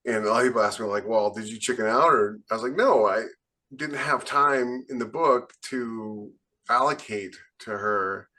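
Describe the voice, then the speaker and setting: A man's voice: fairly low pitch, normal speed, sharp tone. A man speaking emphatically and with firm opinions in a casual panel chat about writing, as a podcast co-host. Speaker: podcast co-host and fiction author.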